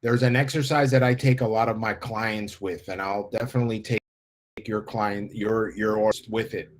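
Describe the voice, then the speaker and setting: A man's voice: lowish voice, steady tone, moderate pace. A man in his fifties from the United States, a life coach guest speaking earnestly and inspirationally. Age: 50s. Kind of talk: interview talk.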